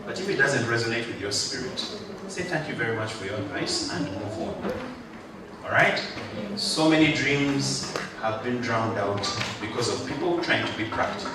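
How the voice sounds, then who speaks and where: A man's voice: medium pitch, animated, moderate pace. Man giving a rousing speech in an echoey hall. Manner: rousing, joking, call-and-response with the audience. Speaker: guest speaker. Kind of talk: motivational graduation speech. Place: large hall at a ceremony. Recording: echoey hall, mic far off, background noise.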